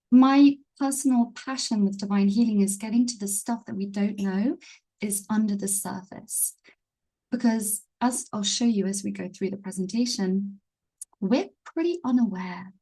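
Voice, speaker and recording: A woman hosts a live online webinar in a warm, mellow voice. She sounds easygoing and gently encouraging, and her pitch sits in a middle range. She is speaking over a video call. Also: measured pace, rough recording. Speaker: webinar host and healing teacher.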